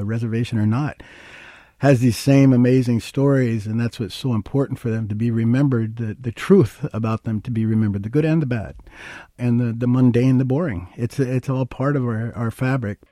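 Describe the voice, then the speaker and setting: A man's voice: mid-range voice, mellow, dry recording, studio quality. An older man from Minnesota in the United States, explaining things plainly and anecdotally as an interview guest. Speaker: interview guest, historical researcher. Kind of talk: conversational interview, storytelling.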